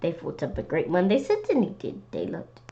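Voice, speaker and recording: Woman w/ kinda low voice reading a kids book out loud, stumbles n repeats words, chatty, bit muffled audio. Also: huge pitch swings, a little fast, vocal fry. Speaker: read-aloud presenter. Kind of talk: storybook read-aloud with casual asides.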